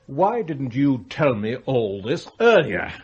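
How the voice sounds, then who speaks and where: An actor playing an irascible, domineering old English magnate in a radio play, with a blunt, peremptory manner. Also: male voice, medium pitch, big pitch swings, slow, darkish tone, slightly rough, highs cut off, heavily acted. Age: older. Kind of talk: British radio play acting.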